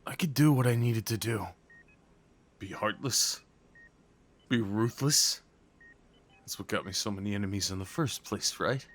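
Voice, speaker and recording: Male actor as devoted crime boss, ASMR roleplay. Mid-pitched, unhurried, halting delivery, raw and intimate. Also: breathy edge. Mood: anguished, self-blaming. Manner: slow, halting, emotional, pleading delivery. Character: devoted, desperate crime boss at his lover's hospital bedside.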